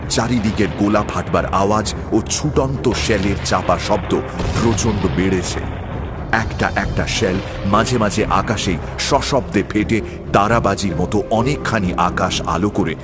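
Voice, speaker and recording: A man's voice: fairly low pitch, measured pace. A man reading a scripted story in a dramatic narrating style. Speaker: narrator of an audio horror and adventure story. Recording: loud music bed under the voice.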